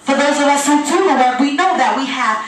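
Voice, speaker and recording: A woman with a high voice preaches an impassioned sermon. She exhorts with emphatic repetition and pointed rhetorical questions. The sound is heavily reverberant, picked up some way from the microphone in a large room. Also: expressive, brisk. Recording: very echoey room, distant microphone.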